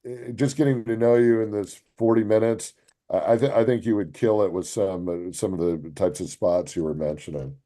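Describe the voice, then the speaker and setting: A man's voice: fairly low pitch, normal speed, mellow. A man co-hosting a podcast as a voice actor, talking earnestly and encouragingly in casual chat.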